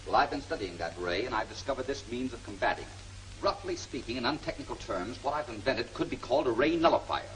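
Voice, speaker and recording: An actor playing a confident inventor in an old film serial, explaining things in an articulate, lecturing manner. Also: male voice, medium pitch, expressive, quick pace, a bit bright, a little hiss or hum. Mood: assured. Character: confident inventor explaining his device to a client. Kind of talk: 1940s film serial acting. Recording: vintage film soundtrack, distant mic, music behind.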